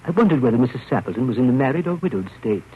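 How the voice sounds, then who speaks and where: An actor playing a fussy, nervous, proper gentleman in 1930s radio drama style, with a prim, halting delivery and habitual throat-clearing. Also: mid-range voice, big pitch swings, natural voice. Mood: polite, uneasy. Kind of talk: 1930s radio drama acting, first-person narration. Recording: muffled, noisy old radio broadcast.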